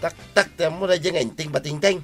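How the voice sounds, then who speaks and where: Man playing sharp-voiced grumbling Ilocano villager. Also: on the high side, fairly quick, breathy edge, soft background music. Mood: annoyed. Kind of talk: comedic Filipino radio drama acting.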